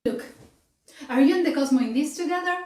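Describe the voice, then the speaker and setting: A woman playing a flustered, self-deprecating young woman in a film monologue, rushed and awkward. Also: sits high, darkish tone, voice pushed up, close to an everyday voice. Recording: echoey room, far from the mic.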